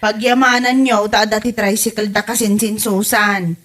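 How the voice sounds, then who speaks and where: A woman's voice: mid-range voice, flat delivery, normal speed, fairly bright tone, natural voice. A woman playing a dutiful, outspoken grown daughter in an audio comedy-drama, earnest and admonishing. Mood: earnest, scolding. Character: dutiful, outspoken grown daughter looking after her mother.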